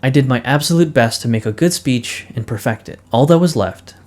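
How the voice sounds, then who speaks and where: A man in his twenties giving a calm, earnest, scripted voice-over for his vlog. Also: mid-range voice, fairly quick. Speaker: vlogger. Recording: voice-over with loud background music. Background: music.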